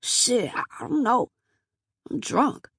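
A woman's voice: a little high, expressive, slow pace, creaky, a bit bright, slightly rough, no room sound, voice pushed up, full character voice. A sleazy drunk man , voiced by a woman narrator, wheedles and leers. It is audiobook character dialogue with a dry, close sound. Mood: startled, defensive.

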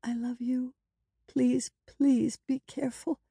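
A woman voicing a loving, anxious female character in an audiobook, pleading and emotional, with a breathy delivery. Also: sits high, little pitch movement, slow pace, dark tone, dry recording, clean studio sound, pitched above the performer's own voice, heavily acted.

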